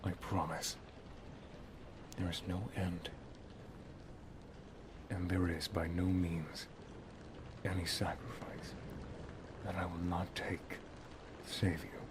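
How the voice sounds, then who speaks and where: A man playing a solemn, protective wizard master and lover in an ASMR roleplay, speaking softly and gravely, often half-whispering. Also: low voice, little pitch movement, takes it slowly, pauses often, noisy background. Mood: tender, protective. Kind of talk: ASMR roleplay, dramatic monologue.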